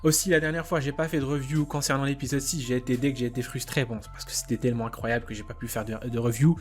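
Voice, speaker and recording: A young man hosting an anime reaction video, rambling casually with repeated fillers, casual swearing and excited exclamations. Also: mid-range voice, quick pace, soft background music. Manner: excitable, laughs and exclaims while watching. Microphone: desk microphone.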